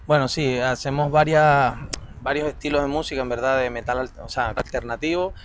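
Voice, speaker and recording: Canarian male singer-bassist, loose word-searching answers, mid-pitched, faint noise behind. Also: steady tone, a little fast. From Canary Islands. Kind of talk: casual interview answers.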